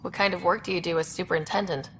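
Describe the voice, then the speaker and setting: Woman as a brisk, curious young space explorer. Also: fairly low pitch, fairly even intonation, a bit bright, a little hiss or hum, natural voice. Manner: plain, inquisitive, conversational. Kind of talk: video game dialogue acting.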